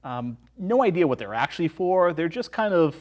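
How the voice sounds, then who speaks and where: A man's voice: on the high side, sing-song, normal speed, a little mellow. A man as a podcast guest, casually discussing a film and explaining things in a conversational way.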